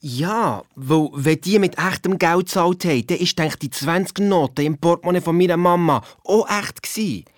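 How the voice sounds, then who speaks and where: A woman's voice: lowish voice, moderate pace, hardly any pauses, no echo, studio quality, lightly acted. A woman playing a cheeky, blunt young boy detective with a Swiss German accent, chiming in casually in a children's radio play. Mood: casual, wry.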